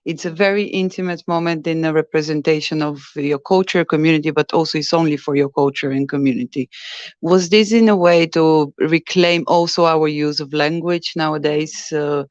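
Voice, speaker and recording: A woman's voice: low-pitched, steady tone, normal speed, darkish tone, dry recording, muffled recording. A woman moderating a festival Q&A, tentative and searching for words.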